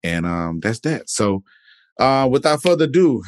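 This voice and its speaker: A man with a Jacksonville, Florida accent hosts a podcast, talking in a relaxed way with lots of fillers and restarts. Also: medium pitch, unhurried, no room sound, studio quality. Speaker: podcast host. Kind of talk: casual interview intro.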